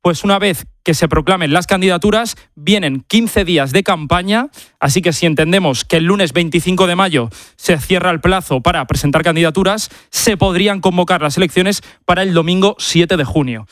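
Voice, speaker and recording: A man's voice: sits high, moderate pace, fairly bright tone, no room sound, clean studio sound. A man with an accent from Spain giving a methodical, explanatory live report on sports radio. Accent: Spanish from Spain.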